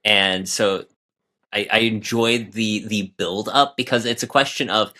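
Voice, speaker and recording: A man's voice: fairly low pitch, fairly even intonation, measured pace, bright, forceful tone. A man co-hosting a casual podcast discussion, articulate and appreciative in how he talks.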